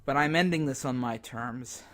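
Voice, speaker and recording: A man playing a despairing amateur radio broadcaster in a zombie apocalypse radio drama, speaking in halting, dramatic phrases. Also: medium pitch, fairly bright tone, lightly acted. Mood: grim, resolute.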